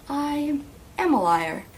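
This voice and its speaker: A woman's voice: high-pitched, slight background noise, exaggerated voice. A woman playing a weary, self-confessed liar. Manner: tired, confessional. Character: weary deceitful young woman. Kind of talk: community radio play acting.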